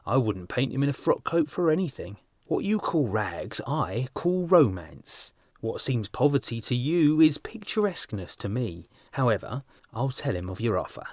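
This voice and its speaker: Man acting a breezy, flamboyant gentleman painter, animated pitch. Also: moderate pace, no echo, clean studio sound. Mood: breezy, assured. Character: amused, worldly, teasing young gentleman painter. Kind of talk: audiobook character dialogue.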